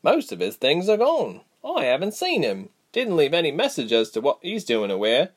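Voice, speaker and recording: A man voicing a blunt woman healer who speaks in a calm, level, scolding way. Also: on the high side, normal speed, breathy edge, voice pushed up, heavily acted. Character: blunt, sharp-tongued woman healer, calm but livid.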